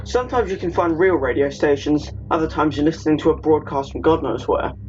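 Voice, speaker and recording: A man narrates a video essay in a somewhat high voice. His delivery moves between written narration and casual explanation. The recording is dull and lo-fi, with faint noise underneath. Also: a little fast, darkish tone.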